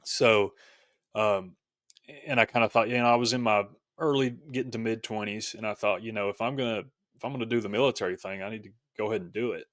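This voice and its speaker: A male podcast guest with a fairly low voice shares a personal story in a casual register. The sound is close and dry, and his delivery is reflective, with some hesitation as he finds his words. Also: fairly even intonation, fast, no echo.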